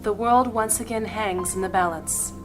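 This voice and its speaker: A woman playing a calm, knowing noble lady in a fantasy audio drama, composed and formal. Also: mid-range voice, normal speed, music in the background, natural voice. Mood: knowing, grave.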